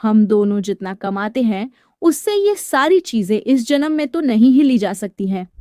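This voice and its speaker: Lady doing a suspicious husband in a story, pushy questions, highish voice, dry sound. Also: animated, moderate pace, mellow, dry recording, lightly acted. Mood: doubting. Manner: pressing, questioning. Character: suspicious working-class husband, stern and insistent. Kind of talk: dramatised Hindi moral storytelling.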